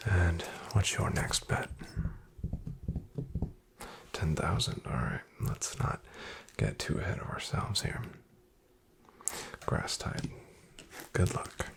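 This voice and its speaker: A man playing a shady, wryly menacing back-alley casino dealer in a soft-spoken, breathy ASMR roleplay, with an unhurried drawl. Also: sits low, animated, background noise, voice pushed down, exaggerated voice. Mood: sly chiding. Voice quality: whispery, breathy, gravelly. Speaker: card game dealer in a roleplay.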